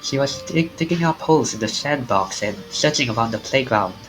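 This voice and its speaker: A man streaming a game, reading its story text aloud in an animated way. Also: medium pitch, fairly quick, over music. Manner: animated, acts out the lines.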